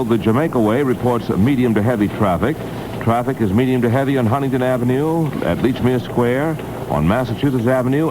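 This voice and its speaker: A man reading a traffic and weather report in a formal, measured 1960s radio manner. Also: medium pitch. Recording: noisy vintage radio, vehicle-like drone.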